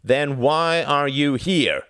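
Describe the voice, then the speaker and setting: A man's voice: mid-range voice, sing-song, normal speed, fairly bright tone, clean tone, no room sound, studio quality, pitched above the performer's own voice. A man voicing sneering male fascist hecklers who drawl with lazy contempt.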